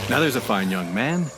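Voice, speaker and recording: An actor in a radio play voicing a breezy, self-assured man who bluffs expertise in a folksy, smooth-talking way. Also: on the low side, background noise, full character voice. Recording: faint outdoor bird and insect ambience.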